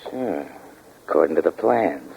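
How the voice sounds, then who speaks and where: Very deep-voiced bossy burglar. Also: male voice, animated, measured pace, creaky, full character voice. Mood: hushed, businesslike. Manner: clipped, bossy. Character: methodical, bossy male burglar, impatient planner. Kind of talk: 1940s radio crime drama acting. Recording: dull, noisy vintage radio recording.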